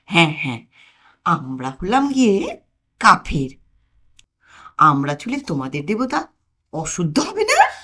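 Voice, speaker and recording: A woman's voice: on the low side, wildly swooping pitch, sharp tone, full character voice. Woman voicing a timid, stammering old male scholar. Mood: nervous pleading. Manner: hesitant, halting stammer with fillers.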